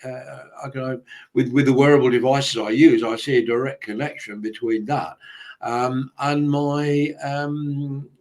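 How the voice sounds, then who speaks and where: A man's voice: mid-range voice, fairly even intonation, unhurried, darkish tone. A man moderating an online panel discussion, talking hesitantly with many fillers and restarts over a video call.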